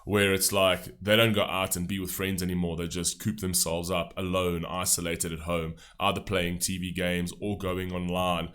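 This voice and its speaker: A man's voice: low-pitched, little pitch movement, normal speed, hardly any pauses, a bit bright, clean tone. A man with a stuffy nose from a cold, talking loosely in a casual reaction commentary with many fillers. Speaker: music reaction host.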